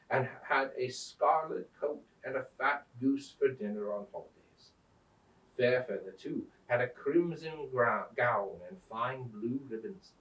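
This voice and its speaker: Echoey, expressive man reading a storybook. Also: mid-range voice. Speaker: Santa storyteller reading aloud. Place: indoors at a museum. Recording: roomy echo, distant microphone.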